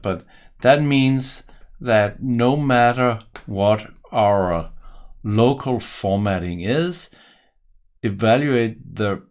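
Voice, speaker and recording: A man in his forties from Denmark with a Danish accent, giving a casual coding tutorial and thinking aloud while he types, recorded at a desk in a home office. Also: lowish voice. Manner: thinking aloud while typing, self-correcting. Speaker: programming tutor.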